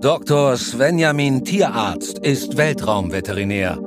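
A man's voice: medium pitch, lively intonation, measured pace, close to an everyday voice. Actor as male sci-fi audio comedy narrator over music. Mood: mock-serious announcing. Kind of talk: scripted audio drama narration.

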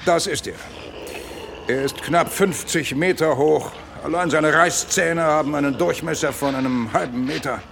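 A gruff military general with a heavily creaky, gravelly voice. He speaks in grave, portentous phrases for a comic sci-fi radio play, with faint ambience behind. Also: on the high side, moderate pace, background noise. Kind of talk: comedic sci-fi audio drama acting.

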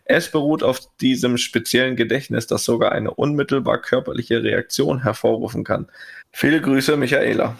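Gravelly man co-hosting a chatty podcast. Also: medium pitch, normal speed. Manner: relaxed, teasing, laughs easily. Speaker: podcast co-host. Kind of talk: casual chat, reading out listener mails.

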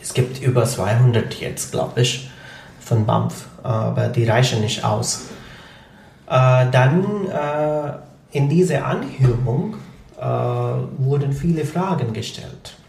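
Male interview guest, Punjabi, gravelly, hesitant, echoey room. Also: mid-range voice, slow pace, a little mellow, slight background noise. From Pakistan. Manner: hesitant, many fillers, explanatory. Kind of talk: conversational interview.

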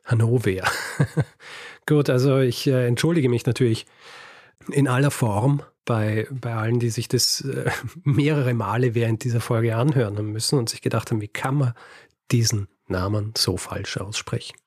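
A man's voice: medium pitch, fairly even intonation, normal speed, a little mellow, breathy edge, dry recording, clean studio sound. A man with a Viennese German accent co-hosting a podcast, telling history stories in casual chat, hesitant with many fillers and small laughs. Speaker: podcast co-host.

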